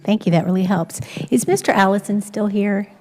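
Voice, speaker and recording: A woman's voice: medium pitch, brisk, mellow. A woman chairing a city council hearing who calls speakers up in a friendly, informal way and sometimes stumbles over her words. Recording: reverberant council chamber.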